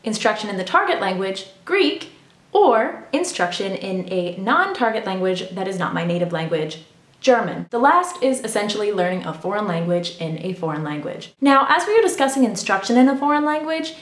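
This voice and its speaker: A woman's voice: mid-range voice, big pitch swings, moderate pace. A woman vlogger with English as her first language, giving a formal, precise talk on language learning to her viewers. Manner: formal, precise, academic wording. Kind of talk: scripted explanatory talk to camera. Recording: echoey room.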